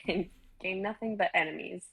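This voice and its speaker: A woman's voice: lowish voice, normal speed, creaky, fairly bright tone. A young woman chatting playfully on a live stream, giggling and joking often. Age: young adult. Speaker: stream co-host and reader. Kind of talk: casual group chat.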